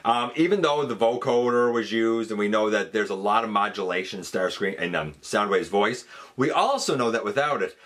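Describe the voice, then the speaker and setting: A man's voice: lowish voice, moderate pace, fairly bright tone. A man hosting a fan countdown, giving casual, enthusiastic and emphatic commentary. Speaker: host of a fan countdown show.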